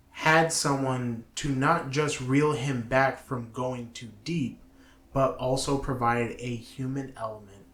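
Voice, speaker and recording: Man, slow halting delivery, opinionated, emphatic, casual review talk, roomy echo. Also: mid-range voice. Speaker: show co-host. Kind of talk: casual movie review discussion, partly reading from notes.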